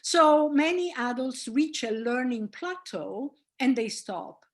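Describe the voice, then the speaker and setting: Woman, professor, public lecture, explanatory, fillers, fairly high-pitched. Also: expressive, slow pace. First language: Italian. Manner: explanatory, with frequent fillers and restarts. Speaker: linguistics professor.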